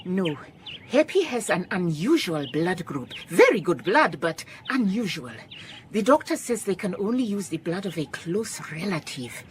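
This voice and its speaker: An actress playing a calm, shrewd, kindly woman detective in a radio drama, measured and politely firm, with a Southern African accent. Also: lowish voice, a little hiss or hum. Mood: grave, insistent. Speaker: lead actor in a radio drama. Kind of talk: radio drama acting with reflective first-person narration.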